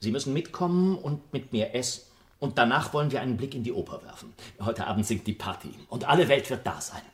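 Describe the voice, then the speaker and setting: An actor playing an urbane, cynical, unruffled gentleman in a literary radio drama, with a polished, ironic manner. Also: medium pitch, lively intonation, a little fast, lightly acted. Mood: breezy, detached.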